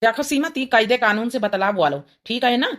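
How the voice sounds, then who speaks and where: A woman's voice: fairly high pitch, expressive, brisk, a bit bright. A woman voicing an exasperated young village husband in a cartoon comedy, chiding in a rustic Awadhi accent. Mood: scolding.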